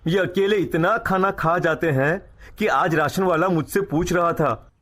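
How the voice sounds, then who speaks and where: A man's voice: high voice, unhurried, a bit bright. Man playing a patient young husband. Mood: complaining. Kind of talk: cartoon story dialogue.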